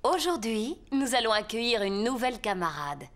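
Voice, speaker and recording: A woman's voice: a little high, very animated, moderate pace, fairly bright tone, slight background noise. A woman voicing a cheerful, encouraging lady gazelle nursery teacher in a preschool cartoon.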